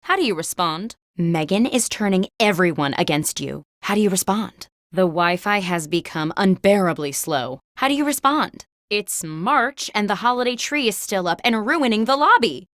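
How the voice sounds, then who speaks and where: Animated woman playing an office interviewer game host. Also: medium pitch, moderate pace, hardly any pauses, dry recording, studio quality, lightly acted. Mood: composed, quizzical. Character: office job interviewer posing workplace questions. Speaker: game host reading prompts. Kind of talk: scripted video game host narration.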